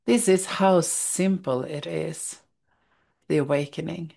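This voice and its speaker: Slow, gravelly Swedish-accented woman. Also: low-pitched. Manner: thoughtful, searching for words, soft restarts. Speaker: spiritual study teacher. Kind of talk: reading aloud and reflecting on a spiritual text.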